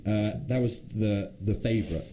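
A man co-hosting a relaxed radio chat in a mellow, dark voice. He stops and restarts often and fills the gaps with "uh", with a faint hiss in the background. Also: fairly low pitch, moderate pace. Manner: hesitant, many fillers and restarts. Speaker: co-host.

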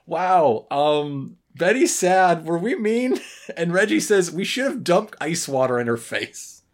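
Casual podcast co-host, mid-pitched and lively, with a dry, joking edge. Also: takes it slowly. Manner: wry, joking. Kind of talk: casual humorous chat recapping an old cartoon.

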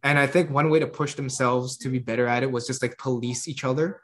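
A man chatting casually on a podcast, animated, laughing often and tripping over repeated words. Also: medium pitch, a little fast. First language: English. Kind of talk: casual chat.

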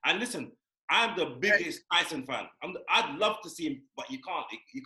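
A man, co-hosting a podcast in loud, teasing casual banter, swearing freely. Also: fairly high pitch, animated, a little fast. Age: 40s. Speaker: podcast co-host. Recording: echoey room.

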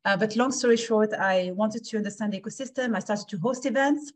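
A woman in her forties from Switzerland, telling her story conversationally as a podcast guest, energetic and repeating words for emphasis. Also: medium pitch, brisk. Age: 40s. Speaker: podcast guest, founder and investor.

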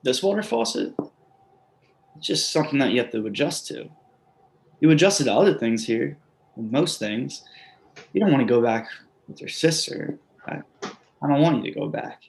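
A man playing a quiet, simple middle-aged man who is patient and reassuring in a play reading. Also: mid-range voice, expressive, moderate pace, gravelly, pauses often, a little hiss or hum. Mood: explaining gently. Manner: gentle, reassuring.

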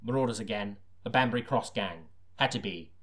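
A man playing a brooding hard-boiled detective, narrating in a grave, deadpan, portentous noir style. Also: lowish voice, fairly quick, lightly acted. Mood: grim, deadpan.

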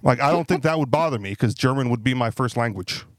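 Male co-host, relaxed conversational delivery, tag questions, medium pitch, close dry sound. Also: fast, no echo. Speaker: podcast co-host. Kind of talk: casual panel discussion.

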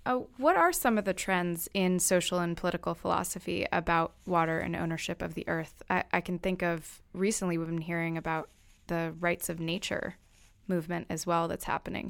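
Low, gravelly-voiced woman interviewer. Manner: polite, measured questioning. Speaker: podcast host, interviewer. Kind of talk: interview questions.

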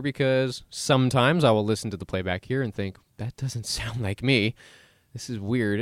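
A man's voice: mid-range voice, no echo. A man hosting a podcast in a studio, chatting casually and cracking jokes while he reads out odd facts. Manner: chatty, joking asides while reading facts. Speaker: podcast host. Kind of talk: casual solo radio talk.

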